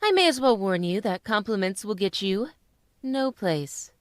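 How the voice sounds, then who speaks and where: A woman playing a gentle, earnest young widowed mother in an old-time radio drama. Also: medium pitch, sing-song, unhurried, no room sound, clean studio sound. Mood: tender, light.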